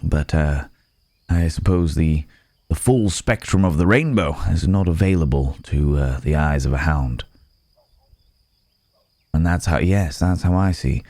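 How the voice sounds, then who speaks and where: A man playing a gentle, apologetic werewolf in an intimate ASMR roleplay, speaking in a hesitant, polite, old-fashioned way with soft chuckles. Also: very deep voice, unhurried, long pauses, dry recording, full character voice. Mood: thoughtful, searching.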